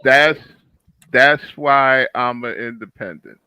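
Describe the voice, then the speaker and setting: A man's voice: medium pitch, slow, bright, forceful tone, smooth, dry recording. A man in a heated panel discussion, speaking emphatically and repeating his phrases for emphasis.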